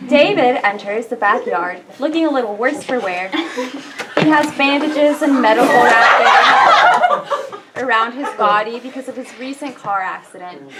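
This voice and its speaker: High-voiced woman narrating stage directions. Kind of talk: scripted narration at a staged play reading. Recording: clear background noise.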